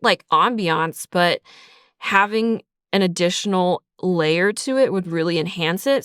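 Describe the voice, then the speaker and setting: Clear, low-voiced woman in casual interview. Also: fairly bright tone, dry recording, studio quality. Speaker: audio drama writer and creator, interview guest. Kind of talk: casual explanatory interview talk.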